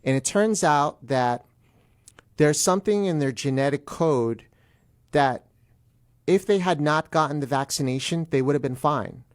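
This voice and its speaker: An American man, an attorney guest on a radio interview, explaining things in plain terms with halting self-corrections. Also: on the high side.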